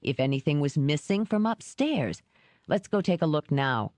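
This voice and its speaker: A woman voicing a gruff, remorseful male ex-cop in an audiobook, speaking low, slow and measured. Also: expressive, darkish tone, no room sound. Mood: probing. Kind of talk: audiobook character reading.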